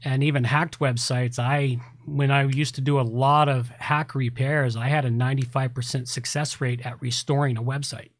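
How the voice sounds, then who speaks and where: Guy podcast host talking shop, medium pitch, dry close mic, repeats stuff for emphasis. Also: little pitch movement, normal speed, hardly any pauses, no echo. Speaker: podcast host, website repair specialist. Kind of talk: casual explanatory tech talk.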